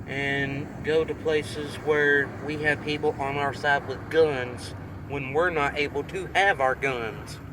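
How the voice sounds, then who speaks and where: A man's voice: a little high, steady tone, slow pace, recorded from a distance. A man chatting casually as a vlog host, in a folksy, emphatic way. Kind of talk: casual chat. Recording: noisy outdoor recording.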